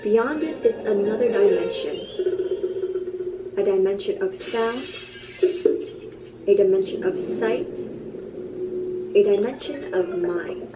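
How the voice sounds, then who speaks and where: A woman giving a scripted narration in an even, measured storytelling voice. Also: mid-range voice. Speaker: video narrator. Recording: muffled distant playback with music. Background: background music.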